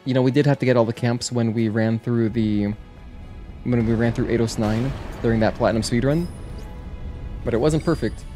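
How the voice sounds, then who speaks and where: A man's voice: medium pitch, steady tone, normal speed, dark tone. A man doing casual, chatty gameplay commentary as a livestreamer, thinking aloud as he goes. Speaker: video game livestreamer. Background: game music.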